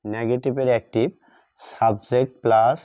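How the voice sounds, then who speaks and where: A man's voice: mid-range voice, steady tone, normal speed, dark tone, smooth, no room sound. A man with a Bengali accent teaches grammar, repeating phrases and spelling words out as he writes examples. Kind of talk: classroom grammar lesson, writing out examples while explaining. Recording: dull lo-fi recording.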